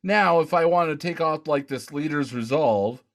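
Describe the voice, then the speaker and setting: A man's voice: on the high side, normal speed. A man co-hosting a gaming podcast, chatting casually and thinking aloud as he tries things out in a game. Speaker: podcast co-host.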